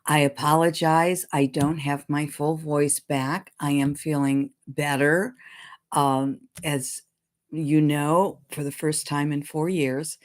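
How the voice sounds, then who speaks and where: A woman psychic giving her weekly message in a casual way, her voice deep and hoarse from congestion as she recovers from illness. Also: steady tone, slow pace. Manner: chatty, apologetic, clears throat. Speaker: psychic channeling a spirit guide.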